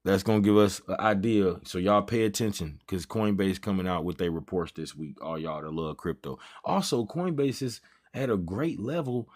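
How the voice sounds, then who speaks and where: A man in his thirties hosting a trading livestream, talking casually in loose slang and drifting off topic as he chats with viewers. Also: mid-range voice. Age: 30s. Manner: loose slangy banter, easily sidetracked. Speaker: trading stream host.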